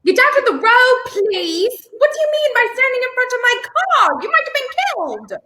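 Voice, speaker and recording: A woman's voice: very high-pitched, expressive, normal speed, pushed and bright, exaggerated voice. A young woman playing a haughty, indignant lady in a 1930s radio play, curt and theatrical. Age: young adult.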